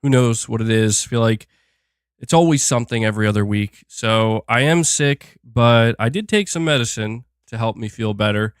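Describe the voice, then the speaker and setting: A man's voice: medium pitch, moderate pace, clear, resonant tone, no echo, clean studio sound. A man hosting a gaming livestream, chatting casually while sick and a bit nasal, rambling with fillers and restarts. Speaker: gaming channel host.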